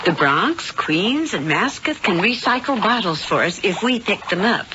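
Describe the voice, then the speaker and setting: An actress playing a brisk, effusive woman with sugary manners and a conspiring streak in a 1970s radio drama. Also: mid-range voice, very animated, close to an everyday voice. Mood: brisk, knowing. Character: brisk, gushing woman, secretly conspiring with her father. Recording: dull, noisy vintage radio recording.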